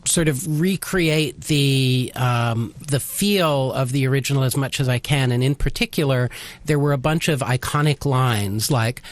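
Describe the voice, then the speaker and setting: Halting, thoughtful man explaining in an interview. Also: medium pitch, no room sound. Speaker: radio theater writer and adapter, interview guest. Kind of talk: casual radio interview.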